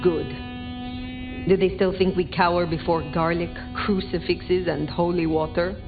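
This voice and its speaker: A woman's voice: lowish voice, little pitch movement, a little slow. An actress voicing an imperious ancient female vampire in a video game, speaking in a cool, formal, archaic and commanding manner. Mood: curt, skeptical. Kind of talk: video game dialogue acting. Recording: muffled game audio under music.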